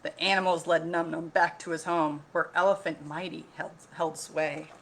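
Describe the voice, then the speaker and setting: A woman reading a picture book aloud like a teacher, pausing to explain, ask questions and repeat key points. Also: deep voice, level tone, a bit bright, a little hiss or hum. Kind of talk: read-aloud storytelling with explanatory asides.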